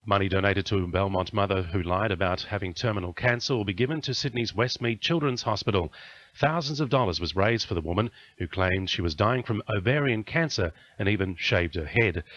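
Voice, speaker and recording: A man with an Australian accent reads a news bulletin in a lowish voice. The delivery is scripted radio newsreading, with a slightly dull sound to the audio. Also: brisk, a bit bright, a little hiss or hum.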